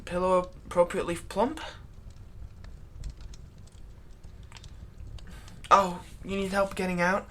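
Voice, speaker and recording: A man's voice: high voice, level tone, a little fast, pushed and bright, a little hiss or hum. A man playing a servile, nervous vampire's thrall in a roleplay audio drama, stammering and deferential. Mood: eager, servile. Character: servile, nervous male vampire's thrall, bitterly eager to please.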